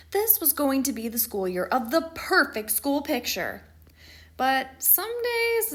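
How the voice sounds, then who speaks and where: Woman reading as a dramatic, mischievous schoolboy, very animated. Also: a little high, heavily acted. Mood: wry, dramatic. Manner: theatrical, emphatic, dramatic pauses. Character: mischievous, dramatic schoolboy narrating his own picture day. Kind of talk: first-person picture book storytelling.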